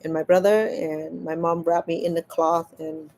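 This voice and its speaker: Woman telling a story haltingly. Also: low voice, flat delivery, a little mellow. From Cambodia. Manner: halting, repeats words as she searches for them. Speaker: crochet vlogger. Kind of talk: casual storytelling while crafting.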